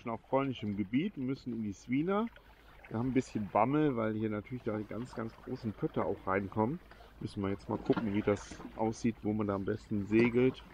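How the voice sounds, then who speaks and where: Low-voiced man narrating from a sailboat. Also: brisk, lo-fi sound. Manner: relaxed, matter-of-fact, thinking aloud. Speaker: sailing vlogger. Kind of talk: casual commentary while sailing. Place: outdoors on a small catamaran on open water. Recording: wind and water noise behind.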